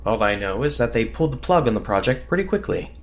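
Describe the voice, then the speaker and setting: A man playing a cocky, foul-mouthed covert government agent in a horror audio drama, wisecracking and excitable. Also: medium pitch, fast. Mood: wry, conspiratorial.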